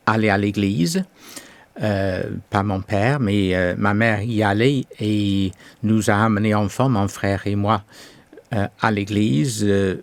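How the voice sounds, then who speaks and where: Slow hesitant older man, English accent. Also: fairly low pitch, no room sound. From England. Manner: slow, hesitant, many pauses and fillers. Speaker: pastor. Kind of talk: testimony interview.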